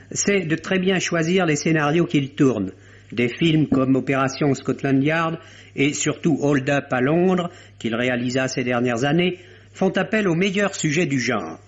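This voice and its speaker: A man giving a scripted film review on the radio in a measured, articulate and opinionated way. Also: on the high side. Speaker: film critic. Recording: dull, lo-fi vintage radio recording.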